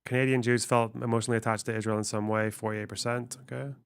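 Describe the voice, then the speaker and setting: A man's voice: medium pitch, level tone, quick pace, creaky, smooth, dry recording. A man streaming, reading text aloud and musing on it casually, swearing offhandedly. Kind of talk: casual livestream commentary.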